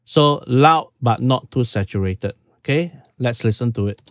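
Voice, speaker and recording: A man's voice: mid-range voice, animated, measured pace, no room sound, studio quality. A man in his fifties from Singapore, walking through audio settings in a casual tutorial, peppered with "okay". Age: 50s. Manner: informal, step-by-step, frequent "okay"s. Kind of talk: casual screen-share tutorial. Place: small room at home.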